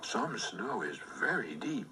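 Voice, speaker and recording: A man reading a children's storybook aloud in an expressive, scripted read-aloud style. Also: mid-range voice, slow, very noisy. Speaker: storybook reader.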